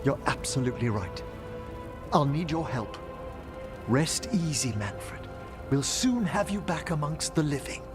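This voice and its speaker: Gentle gentleman necromancer, highish voice, slow and eloquent, fantasy game with music behind. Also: lively intonation. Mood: resolved. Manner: eloquent, formal, tender. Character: gentle, refined, earnest male necromancer scholar.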